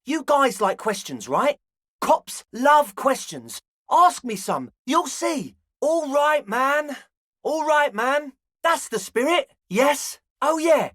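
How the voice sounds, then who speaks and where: Game role: earnest twenty-year-old club kid, eager and a bit grandiose, very animated delivery, close dry sound. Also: male voice, very high voice, slow pace, dry recording, full character voice. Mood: eager, excited. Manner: slacker slang mixed with grandiose phrasing. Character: balding twenty-year-old raver dreamer, eager, earnest, slightly pompous. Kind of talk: video game character dialogue.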